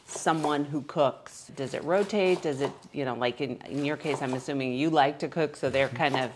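A woman's voice: a bass voice, moderate pace, background noise. A middle-aged woman asking curious, chatty questions while helping cook in a fire station kitchen. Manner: curious, chatty, uses "you know, like". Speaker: interviewer. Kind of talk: casual interview while cooking.

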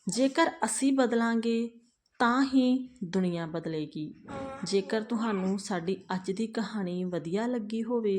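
A woman narrating a moral family story for her channel in a measured, earnest read-aloud manner. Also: medium pitch.

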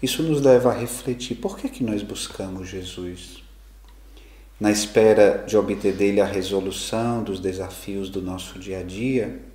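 Middle-aged man, priest, homily, very deep voice, slow reflective pauses, roomy echo. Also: warm tone. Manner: measured, reflective preaching with slow emphatic pauses. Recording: echoey room, faint background noise.

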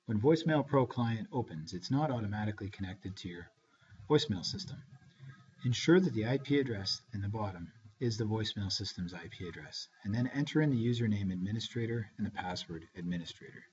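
A man narrating a step-by-step software tutorial in a measured, instructional way. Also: lowish voice, lively intonation. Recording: dull, lo-fi screencast voice-over.

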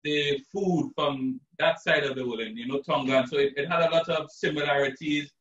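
Man from Trinidad, chef, chatty and relaxed, higher voice, roomy kitchen sound from far off, dull lo-fi. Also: fairly quick. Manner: relaxed chatty explainer, many fillers. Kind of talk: live cooking demo. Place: home kitchen indoors. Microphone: far camera, video call.

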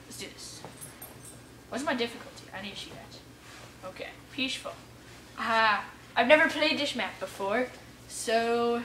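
A woman giving casual let's play commentary, excitable, exclaiming and muttering to herself as she plays. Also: a little high. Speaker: gamer playing an adventure map for viewers. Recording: roomy echo, distant mic, faint noise.

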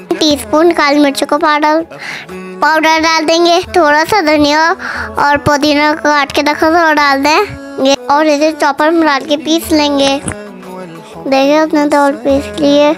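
A young-sounding female cooking host, narrating a recipe step by step in voice-over. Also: high voice. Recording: music behind the voice. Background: background music.

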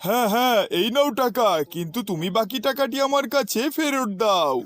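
A man voicing a sly, flattering, scheming crow in a children's cartoon story, with a crafty, wheedling manner. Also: very high-pitched, moderate pace. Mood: businesslike.

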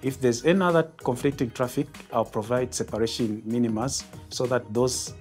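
A middle-aged Zambian man speaks at a medium pitch with soft music underneath. His delivery is formal and methodical, that of a controller explaining procedures in an interview. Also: normal speed. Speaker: air traffic controller, tower. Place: glass-walled control tower room, indoors. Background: background music.

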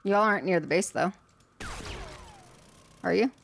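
A woman's voice: fairly low pitch, a little slow, vocal fry, background noise. Woman streamer thinking aloud, slightly worn voice. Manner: chatty, talks to herself while building. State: voice a little worn. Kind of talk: casual gameplay commentary.

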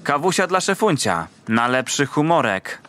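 An actor playing a fawning, cutesy young police subordinate in an audio drama. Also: male voice, fairly high pitch, big pitch swings, moderate pace, fairly bright tone. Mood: ingratiating. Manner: ingratiating, cutesy.

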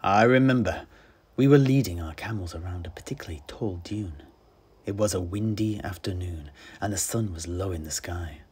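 A man telling a fantasy tale in character, theatrical and measured, with dramatic pauses and stresses. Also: deep voice, a little mellow, slightly rough. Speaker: storyteller, in-character tavern keeper. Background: plucked-string music.

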